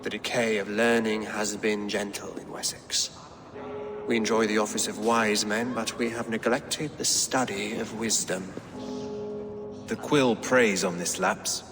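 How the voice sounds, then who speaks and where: A man voicing a learned, devout king who speaks in measured, formal phrases. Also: lowish voice, steady tone, long reverb tail, music in the background. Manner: scholarly, measured, quotes scripture. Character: learned, pious Saxon king.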